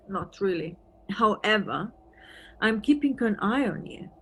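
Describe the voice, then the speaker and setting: A woman's voice: mid-range voice, expressive, slow, a bit bright, a little hiss or hum. A woman playing an eccentric, playful, officious European policewoman in an audio play, theatrical and teasing, with German phrases thrown in.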